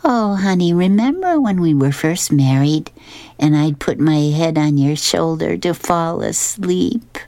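Woman putting on a sweet, higher-pitched cartoon wife. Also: lively intonation, a little slow, warm tone, no room sound. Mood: tender. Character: sweet nostalgic comic-strip wife, affectionate.

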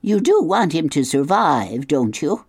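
A woman voicing a blunt, suspicious old female goose in an audiobook, talking in a brisk, scolding way. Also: deep voice, very animated, a little mellow, husky, no echo, studio quality, heavily acted. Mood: bossy advice. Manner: brisk, scolding, matter-of-fact. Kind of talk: audiobook reading.

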